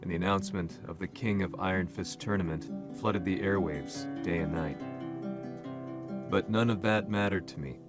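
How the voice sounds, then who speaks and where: An actor playing a weary, disillusioned war reporter, narrating a video game story in a sombre, measured way over guitar music. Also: male voice, low voice, close to an everyday voice. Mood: sombre, brooding. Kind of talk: scripted first-person story narration. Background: plucked guitar music.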